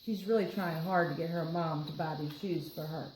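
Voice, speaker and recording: A woman with a Southern US accent reading a picture book aloud to children, with playful, expressive, chatty asides. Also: low-pitched, a little fast, roomy sound, a little hiss or hum, low-quality recording. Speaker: children's storytime reader.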